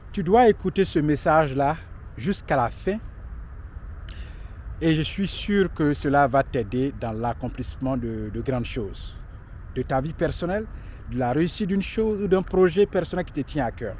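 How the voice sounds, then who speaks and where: A man's voice: a little high, darkish tone, slight background noise. A man in his late thirties giving emphatic, repetitive motivational life advice outdoors in a city street. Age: 30s. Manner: emphatic, preachy, repeats himself for stress. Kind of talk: motivational talk to camera.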